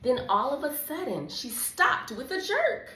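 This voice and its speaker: Woman, children's read-along host. Animated, encouraging storybook delivery. Roomy sound with faint noise. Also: high-pitched, unhurried, recorded from a distance. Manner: encouraging, coaxing. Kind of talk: expressive storybook reading with chat to a child. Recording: echoey room with background noise.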